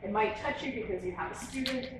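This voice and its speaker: A woman's voice: mid-range voice, quick pace. A woman heading a policy institute, giving earnest opening remarks at a university panel with frequent restarts. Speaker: institute president and CEO. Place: university lecture room. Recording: distant, very echoey hall with noise.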